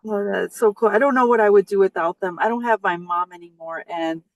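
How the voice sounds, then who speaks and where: A woman's voice: medium pitch, a little fast, no room sound, poor audio. A woman hosting an interview, raised in a Mexican household, chatting hesitantly with many fillers over a video call. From Mexican-American household. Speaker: host. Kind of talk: casual interview.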